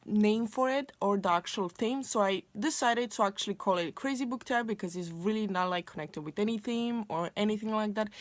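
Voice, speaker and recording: A woman's voice: medium pitch, no echo. A young woman from Romania, a native Romanian speaker, chatting casually to camera about books with many fillers and restarts. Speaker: book vlogger.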